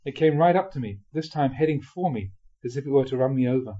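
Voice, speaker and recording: A man reading a spiritual memoir aloud expressively, in a measured way with dramatic pauses. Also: medium pitch, animated, mellow, highs cut off. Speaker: reader of Buddhist teachings.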